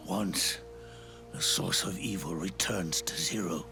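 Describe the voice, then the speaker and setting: Dying, gravelly old soldier. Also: male voice, low-pitched, full character voice. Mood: solemn, resigned. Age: older. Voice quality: gravelly, breathy rasp. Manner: slow, halting, solemn, with long pauses. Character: dying old male soldier, weary, solemn, at peace. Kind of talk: dramatic video game cutscene monologue. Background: orchestral music.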